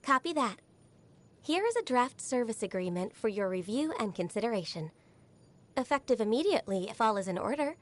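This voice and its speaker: An actress voicing a shy, diligent young female secretary in a video game, polite, earnest and soft-spoken. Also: on the high side, sing-song, fairly quick. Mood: businesslike, flustered. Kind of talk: video game character voice lines.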